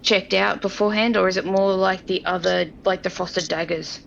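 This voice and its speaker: A woman talks casually during a tabletop game in a creaky voice. The recording is a bit muffled, with faint noise behind her. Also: fairly low pitch, steady tone, normal speed.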